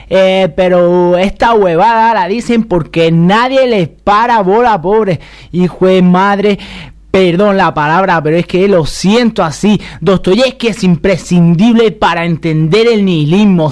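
A man acts out a young Latin American gang member in a radio comedy sketch. His voice sits high, and he complains in indignant, foul-mouthed slang with bookish pretensions. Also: unhurried, darkish tone.

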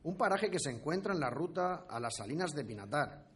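An actor playing a deferential eighteenth-century town scribe in a radio play, courteous and official, with precise formal delivery. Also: male voice, a little high, animated, fairly quick, a bit bright. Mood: official, approving. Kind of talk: scripted historical radio play.

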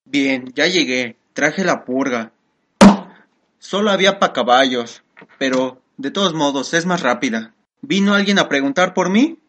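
A woman acts a practical, bossy father in a radio soap. The voice is low for a woman and matter-of-fact, used to giving orders. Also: animated, measured pace, fairly bright tone, a little hiss or hum, full character voice. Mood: businesslike. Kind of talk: Spanish-language radio soap acting.

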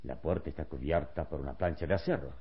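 Calm, wise adventure hero in vintage radio drama; very low voice, deliberate delivery, dull lo-fi recording. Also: male voice. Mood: calm, reasoning. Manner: calm, deliberate, reassuring elder to a boy. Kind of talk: vintage Spanish-language radio serial acting.

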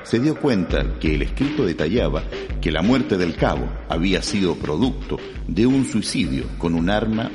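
A low-voiced man narrates a true-crime radio drama. His delivery is grave and suspenseful, wrapped in long reverb with music playing clearly behind him.

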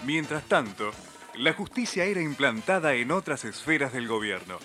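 A man's voice: mid-range voice, big pitch swings, normal speed, pushed and bright, soft background music. A man acting a solemn, formal, melodramatic narrator and official in an amateur radio play, declaiming a script. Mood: solemn, dramatic.

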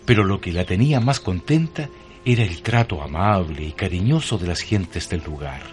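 Deep Chilean male storyteller. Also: expressive, normal speed, darkish tone. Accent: Chilean Spanish. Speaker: narrator. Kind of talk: scripted radio drama narration. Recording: music bed behind the voice.

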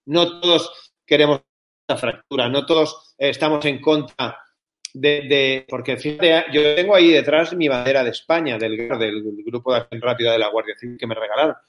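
A man's voice: mid-range voice, steady tone, moderate pace, a bit bright. A man from Barcelona with a Barcelona Spanish accent, talking bluntly and colloquially as an interview guest, with some swearing. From Barcelona, Spain. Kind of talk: casual, opinionated interview talk.

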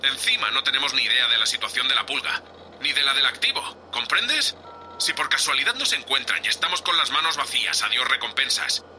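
A man's voice: mid-range voice, animated, brisk, bright, forceful tone, faint music behind. A man with a Spain Spanish accent playing a foul-mouthed, exasperated spaceship captain who scolds curtly in a sci-fi audio drama. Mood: exasperated, grim.

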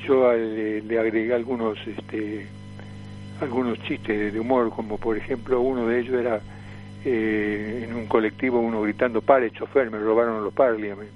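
A man's voice: fairly low pitch, flat delivery, normal speed, dull audio, soft background music. A man in his seventies from Tucumán with an Argentine accent, recalling his career as an interview guest in a hesitant, repetitive way. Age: 70s. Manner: hesitant, repeats words and phrases. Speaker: radio guest, veteran comic performer and voice impressionist. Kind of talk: casual reminiscing interview.

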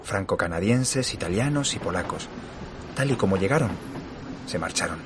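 A man acting as a curious, literary-minded government employee narrates an audio horror drama. His voice is lowish and his delivery formal and measured. Clear ambient noise sits behind him. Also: lively intonation. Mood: measured, intrigued. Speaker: narrator and lead actor.

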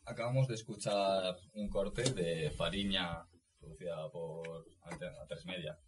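A man's voice: low-pitched, steady tone, a little slow, heavy background noise. A man hosting a casual panel discussion, introducing subjects and asking the others for opinions. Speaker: podcast host.

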